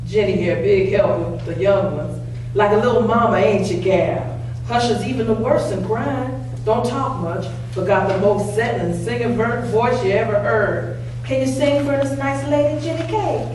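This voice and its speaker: A woman's voice: medium pitch, moderate pace, darkish tone, natural voice. Woman playing proud rural Southern mother, distant and echoey. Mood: matter-of-fact. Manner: folksy, blunt, clipped country phrasing. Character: poor rural Southern mother, proud, fiercely protective, plainspoken. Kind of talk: staged play reading. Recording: distant mic, very echoey room, faint noise.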